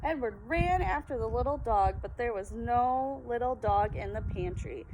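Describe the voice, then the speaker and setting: A woman reading a children's picture book aloud with an expressive, dramatic storytelling delivery. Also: moderate pace. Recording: very noisy, rumbling background.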